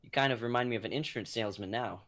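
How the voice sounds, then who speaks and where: A man's voice: mid-range voice, very fast, fairly bright tone, lightly acted. A man playing a bewildered, skeptical ordinary guy, hesitant and questioning, in a comedic dramatic reading.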